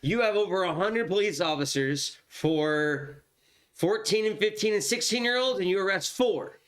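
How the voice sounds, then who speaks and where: A man's voice: high-pitched, expressive, normal speed, a bit bright. A man co-hosting a podcast in casual chat, incredulous and swearing freely. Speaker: podcast host.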